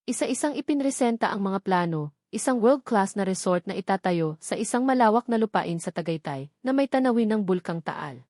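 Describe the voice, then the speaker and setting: A woman's voice: mid-range voice, animated, a little fast, darkish tone, no echo. Filipino woman narrating, close dry sound. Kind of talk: dramatic scripted story narration.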